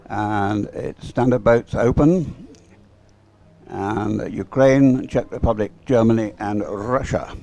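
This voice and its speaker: A man's voice: lowish voice, slow, vocal fry, warm tone. A man giving unscripted live sports commentary in a hesitant, rambling way, as if thinking aloud.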